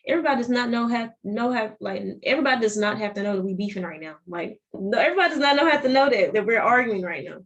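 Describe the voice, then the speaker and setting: A woman's voice: on the high side, expressive, fast, hardly any pauses, highs cut off. A young woman with a Southern US accent, a podcast guest talking animatedly in casual chat and often restarting her phrases.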